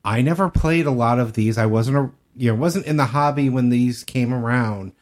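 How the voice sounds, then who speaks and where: A man's voice: mid-range voice, normal speed, darkish tone. A man co-hosting a podcast, talking casually in a discussion. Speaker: podcast co-host.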